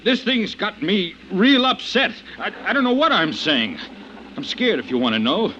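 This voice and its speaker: An actor playing a dogged, plain-spoken, easily rattled police officer in an old radio drama, blunt and colloquial. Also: male voice, high-pitched, sing-song, normal speed, sharp tone, exaggerated voice. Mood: rattled, forceful. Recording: muffled, hissy vintage radio recording.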